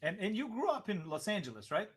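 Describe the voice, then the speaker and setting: A man with a Serbian accent hosts a casual livestream interview. He is relaxed, laughs easily and answers with short agreeing words. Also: high-pitched, fast. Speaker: show host.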